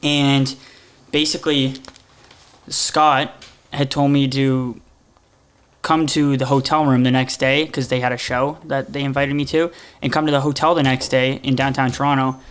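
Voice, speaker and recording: A young man in his twenties, a guest on a talk show, tells a story casually and rambles a little. His voice sits at a middle pitch. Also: level tone, normal speed, fairly bright tone, clean tone. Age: 20s.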